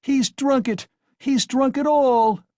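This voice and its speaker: A man's voice: very high-pitched, fairly even intonation, takes it slowly, a little mellow, no echo, studio quality, pitched above the performer's own voice. A man voicing a desperate, frantic poor mother who screams accusations. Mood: frantic screaming.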